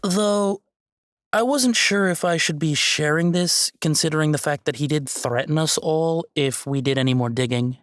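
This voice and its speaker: Woman playing a gentle nerdy college girl, low voice, kinda hesitant, audio drama, really dry sound. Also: normal speed, dry recording, lightly acted. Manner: tentative, conciliatory, hesitant. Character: gentle, hesitant young female tech-savvy student friend.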